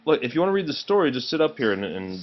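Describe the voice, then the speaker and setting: A man reading a children's storybook aloud in an expressive way, pausing to speak to the children with him. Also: on the high side, highs cut off, very noisy. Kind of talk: read-aloud of a children's storybook, broken by asides to kids.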